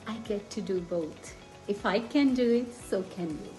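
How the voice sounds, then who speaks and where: A woman from India giving a heartfelt, measured testimonial about family. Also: mid-range voice, dark tone, faint music behind, poor audio. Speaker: former daycare teacher sharing a testimonial. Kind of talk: emotional personal testimonial.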